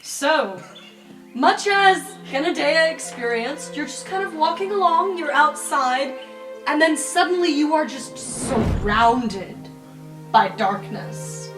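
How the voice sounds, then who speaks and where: A woman's voice: high-pitched, animated, slow pace. A woman narrating an improvised tabletop game as game master, in an echoey recording. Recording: echoey, distant from the mic. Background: music.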